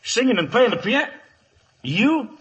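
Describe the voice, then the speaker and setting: Cocky, swooping, raspy young-gun outlaw. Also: male voice, very high voice, very animated, unhurried, a bit bright, full character voice. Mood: amused. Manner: breezy, mocking. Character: cocky, taunting male outlaw gunslinger, playfully menacing. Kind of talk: 1950s Western radio drama acting. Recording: vintage 1950s radio broadcast.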